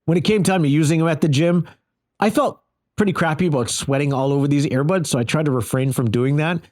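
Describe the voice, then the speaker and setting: A man's voice: a little high, fast, darkish tone. A man giving a casual, conversational first-person gear review, repeating phrases for emphasis. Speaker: product reviewer.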